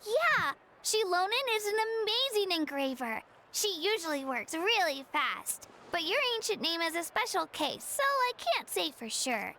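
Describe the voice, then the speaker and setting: Eager sweet girl, very high, bright, lively intonation, friendly video game character, faint ambience. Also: measured pace, hardly any pauses, slight background noise, full character voice. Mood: cheerful, friendly.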